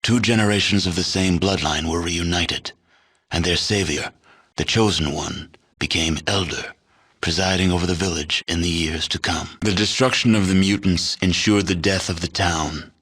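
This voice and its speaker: A solemn narrator for a post-apocalyptic game. His voice sits very deep and has a husky, grainy edge. He delivers scripted storytelling with grave, deliberate weight. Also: fairly even intonation, fairly bright tone.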